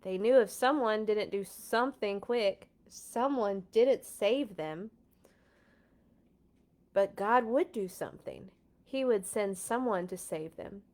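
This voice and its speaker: Female children's read-aloud host: unhurried, expressive, playful, informal. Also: medium pitch. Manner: dramatic, playful sound effects. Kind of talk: expressive storybook reading with casual asides.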